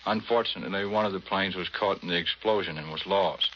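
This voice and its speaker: A man's voice: low-pitched, fast. An American man, a Navy commander giving a modest, matter-of-fact scripted interview on 1940s radio. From United States. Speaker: Navy patrol squadron commander being interviewed. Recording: muffled, slightly noisy 1940s radio.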